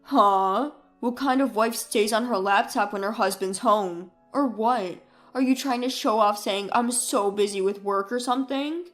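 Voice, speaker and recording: A woman's voice: medium pitch, a little slow, few pauses, a bit bright, faint music behind, natural voice. A woman voicing a cold, scornful husband in dramatised story dialogue. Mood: sneering. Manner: scornful, belittling. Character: cold, sneering husband, adult man, easily annoyed.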